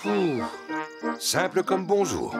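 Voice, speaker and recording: An actor playing a jolly, easygoing daddy pig who chuckles good-naturedly in a cartoon. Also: male voice, high voice, wildly swooping pitch, slow pace, heavily acted. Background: cartoon music.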